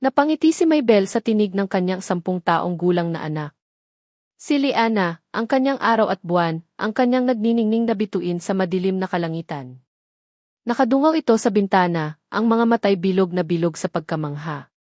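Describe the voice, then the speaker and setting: A woman's voice: medium pitch, animated, normal speed, a little mellow, dry recording. Filipina woman narrating a story, dry close sound. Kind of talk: scripted dramatic storytelling narration.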